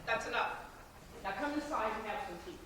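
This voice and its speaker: A woman's voice: on the high side, animated, a little fast, bright, forceful tone. A woman on stage playing a stern, insistent middle-aged mother in a theatrical style. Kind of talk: stage play acting. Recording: echoey hall from far off, noisy.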